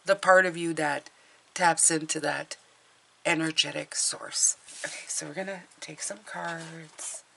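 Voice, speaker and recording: A middle-aged woman with a hoarse voice from a cold, chatting casually through a tarot reading with fillers and restarts. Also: low-pitched, steady tone, a little slow, a bit bright, slight background noise. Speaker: tarot reader.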